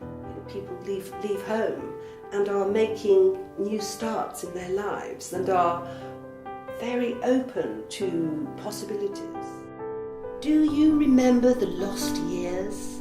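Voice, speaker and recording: An older woman from the north of England speaks in a slow, measured, almost poetic way. Her voice sits in a roomy space, with loud guitar and piano music playing behind it. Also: medium pitch. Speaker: interviewee and narrator. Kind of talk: reflective spoken recollection.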